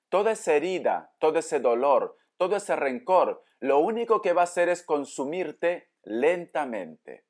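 A man's voice: fairly high pitch, a little mellow. A man giving an informal tarot reading straight to viewers, explaining as he goes and answering his own questions. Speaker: tarot reader, host. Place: indoor room with books at a table.

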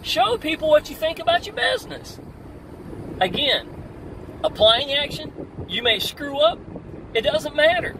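A middle-aged man delivers an energetic motivational pep talk, his pitch swinging widely as he preaches. He records on a phone held out in an open-top car. The voice sits back from the mic, with steady wind and traffic noise underneath. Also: unhurried, pauses often, far from the mic. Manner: energetic, preachy, repeats "y'all". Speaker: online marketing coach. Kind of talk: motivational vlog while driving. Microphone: phone camera at arm's length.

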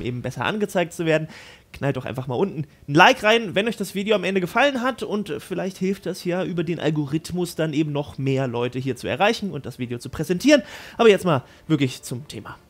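A man's voice: fairly high pitch, expressive, fast. A man hosting a film news video, delivering scripted commentary straight to viewers in an emphatic way. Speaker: YouTube host on film news.

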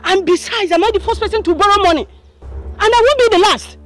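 A woman's voice: fairly high pitch, very animated, normal speed, slightly rough, exaggerated voice. A woman playing a brazen, quarrelsome debtor in a film drama, with a Nigerian accent and a taunting, exclamatory manner. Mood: angry, scornful. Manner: taunting, exclamatory, repeats questions. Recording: background music over film dialogue.

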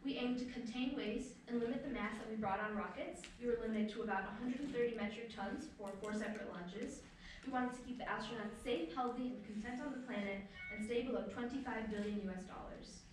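A woman's voice: a little high, a little fast, slight background noise. Teen girl presenting in an echoey hall. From Michigan. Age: teens. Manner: earnest, rehearsed delivery. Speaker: student presenter. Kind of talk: prepared team presentation. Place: large lecture room. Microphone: far camera. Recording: very echoey room, distant camera.

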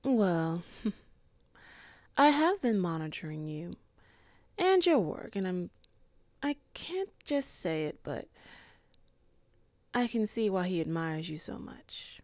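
A woman voicing a calm, composed female boss in an ASMR office roleplay, speaking in a measured, softly flirtatious way. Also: lowish voice, no echo, exaggerated voice. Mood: teasing.

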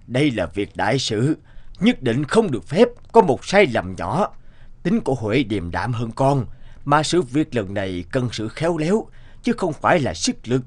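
A man voicing a grave village father with a measured, authoritative manner in a cartoon. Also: medium pitch, sing-song. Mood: firm, grave. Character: grave village father, healer plotting cautiously. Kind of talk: Vietnamese cartoon dubbing.

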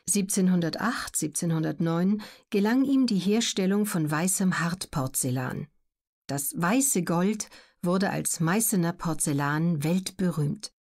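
A woman reading scripted documentary narration for an audiobook in a measured, clearly enunciated way. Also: fairly low pitch, animated, darkish tone.

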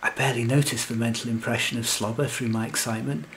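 A man plays a lovelorn, slightly anxious hero narrating a comic audio story. He is wordy, earnest and wry, with a mid-pitched creaky voice. Faint noise sits behind the recording. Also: fairly even intonation, quick pace, a bit bright, natural voice, low-quality recording. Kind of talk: scripted comic first-person narration and verse.

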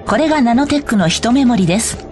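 A woman's voice: fairly high pitch, animated, quick pace, darkish tone, soft background music, close to an everyday voice. A woman voicing a polite, cheerful corporate guide, reading scripted tutorial narration for a game. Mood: polite, bright. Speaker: tutorial announcer.

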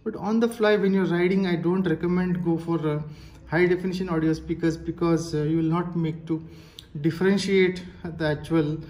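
A woman with an Indian accent giving a casual hands-on product demonstration in a halting, explanatory manner. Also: fairly low pitch, steady tone, dark tone. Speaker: product reviewer. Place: indoors, tiled-floor room. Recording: echoey hard-floored room.

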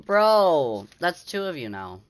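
A teenage boy streaming a game, with a squeaky voice, chatting and bickering excitably with friends. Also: slow pace. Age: teens. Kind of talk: casual gaming chat with friends.

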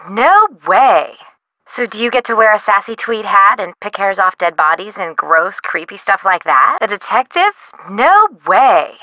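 A young actress playing a quirky, excitable, pretentious artist in a video game, with a perky, slangy, theatrical delivery. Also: female voice, medium pitch, dry recording. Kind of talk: video game character dialogue.

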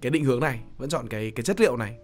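A young man with a northern Vietnamese accent interviews conversationally as a podcast host, phrasing his questions haltingly. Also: mid-range voice, animated. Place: small studio. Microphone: close studio microphone. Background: soft plucked guitar music.

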